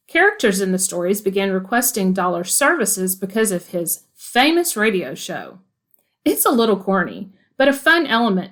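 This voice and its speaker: A woman hosting a podcast, delivering scripted narration. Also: mid-range voice. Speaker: podcast host.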